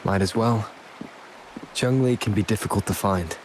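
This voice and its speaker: An actor playing a calm, kind young wanderer in a video game, measured and gentle. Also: male voice, lowish voice, noisy background, lightly acted. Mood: thoughtful.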